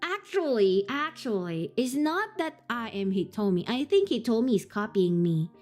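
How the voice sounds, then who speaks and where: Giggly high-voiced woman streamer. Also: very animated, normal speed, darkish tone, faint music behind. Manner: giggly, playful. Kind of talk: casual game commentary.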